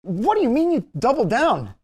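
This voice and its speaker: A man's voice: extremely high pitch, wildly swooping pitch, normal speed, husky, heavily acted. Male actor as reluctant young sidekick nephew, nervous, disbelieving, wildly swinging intonation, comedy table read. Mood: shocked.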